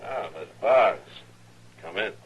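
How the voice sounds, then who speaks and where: An actor playing a steady, laconic Old West marshal with an American accent, measured, polite and probing, in an old radio drama. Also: male voice, fairly low pitch, highs cut off. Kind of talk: old-time radio western acting. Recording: noisy old radio recording.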